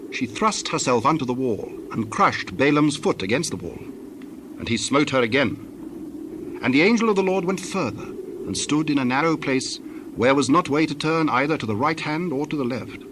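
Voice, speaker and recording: Male Bible narrator, mid-pitched. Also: background noise. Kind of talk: scripted scripture narration.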